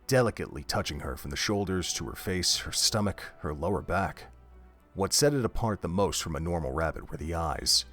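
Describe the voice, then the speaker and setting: A man reading scripted narration for an audio drama, telling the story in prose. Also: low-pitched.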